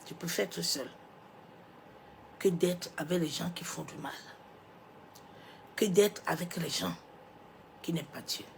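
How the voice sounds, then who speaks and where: A woman pastor with a cold, preaching a sermon. She swings from loud, emphatic declarations to soft, near-whispered asides and drops little rhetorical interjections as she goes. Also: on the low side, unhurried, gravelly, pauses often, a little hiss or hum, rough recording. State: has a cold, coughing.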